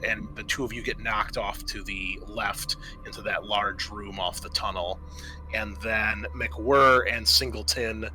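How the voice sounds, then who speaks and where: A man acting as game master, improvising narration and explaining rules, often pausing mid-sentence as he thinks. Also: fairly low pitch, bright, forceful tone, poor audio. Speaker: game master and host of a tabletop roleplaying session. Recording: noticeable background room noise.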